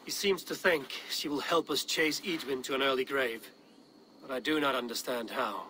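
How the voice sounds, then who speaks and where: An actor playing a blunt, skeptical but loyal Norse warrior in a video game, direct and challenging. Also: male voice, mid-range voice, normal speed, background noise, lightly acted. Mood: steady.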